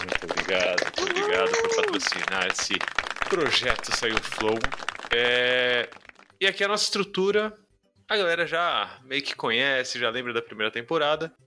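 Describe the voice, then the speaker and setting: Male Brazilian podcast host, very bright projected tone, casual and slangy, faint music bed. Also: medium pitch. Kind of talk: casual chat. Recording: music behind the voice.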